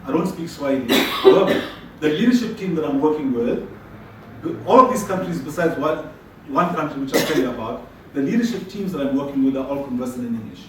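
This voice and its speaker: A man's voice: fairly high pitch, expressive, brisk, noisy background. Man, from South Africa, conference presenter, conversational, repeats words, distant mic, very echoey room. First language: Tamil. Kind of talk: presentation with audience questions.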